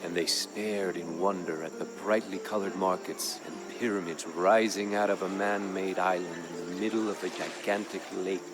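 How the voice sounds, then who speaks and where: A man playing a proud, grave Aztec jaguar warrior who solemnly recounts his people's fall as a strategy game's campaign narrator, in a measured, dramatic way. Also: deep voice, fairly even intonation, very noisy, lightly acted. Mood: solemn, wary. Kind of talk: solemn scripted storytelling narration for a strategy game.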